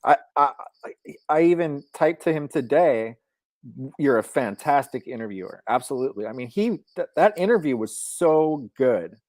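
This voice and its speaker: A man's voice: mid-range voice, moderate pace, dry recording. Man co-hosting a casual livestream. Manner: reads viewer texts aloud flatly. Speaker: livestream co-host.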